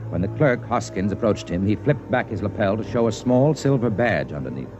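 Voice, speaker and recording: A man's voice: fairly low pitch, expressive, brisk, lightly acted. A man delivering scripted narration for a 1950s radio drama. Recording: muffled 1950s radio, loud music behind. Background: music.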